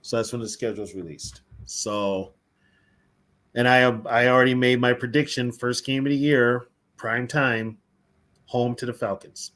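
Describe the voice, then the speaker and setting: A man's voice: mid-range voice, fairly even intonation, a little slow, a bit bright. A man co-hosting a casual live stream, chatty, laughing easily and talking to the viewers in the chat. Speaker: co-host of a fan podcast.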